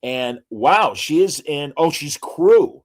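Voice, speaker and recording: A man co-hosting a casual podcast, reading out actor credits with frequent hesitant pauses and showman asides to the audience. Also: a little high, lively intonation. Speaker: podcast co-host.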